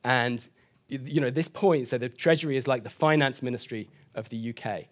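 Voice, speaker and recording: A man in his thirties answering questions after a keynote at a conference, hedging often and speaking quickly. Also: medium pitch. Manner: hedging, sort of, you know. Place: conference hall stage.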